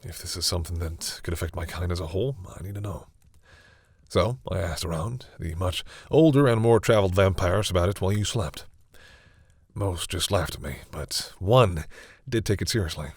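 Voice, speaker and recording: A man playing a centuries-old vampire in an intimate audio roleplay, speaking formally but haltingly in a husky, breathy voice. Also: low voice, no echo, studio quality. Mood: earnest, explaining. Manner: hesitant, halting, formal phrasing. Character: centuries-old male vampire, courteous, hesitant, commanding with servants.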